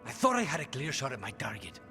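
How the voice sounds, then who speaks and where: Brooding game assassin, troubled and intense, medium pitch w lots of movement, faint music. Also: male voice, wildly swooping pitch, fast talker, pushed and bright. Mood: anguished. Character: anguished male assassin, brooding and conflicted.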